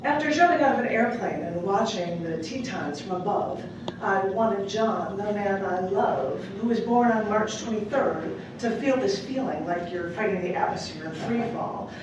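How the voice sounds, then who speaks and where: A woman's voice: mid-range voice, lively intonation, moderate pace. Echoey, distant woman reading poetry aloud. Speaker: poet reading at an open mic. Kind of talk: live spoken-word poetry reading with casual asides. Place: public library room at a live event. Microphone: distant microphone. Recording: echoey room from far off, clearly noisy.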